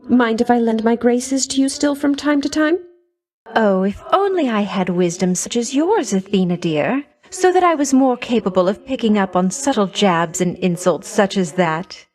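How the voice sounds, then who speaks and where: Actress as a vain, flirtatious game goddess of love, purring and coy, with a lively sing-song lilt. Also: female voice, medium pitch, close to an everyday voice. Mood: catty. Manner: coy, teasing, sultry, with sweet-voiced threats. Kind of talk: video game voice lines.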